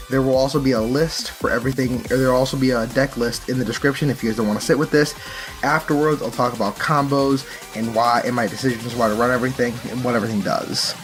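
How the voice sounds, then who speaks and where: A man's voice: mid-range voice, a bit bright. Casual man explaining over music. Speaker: card game channel host. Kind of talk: casual unscripted gameplay and deck commentary.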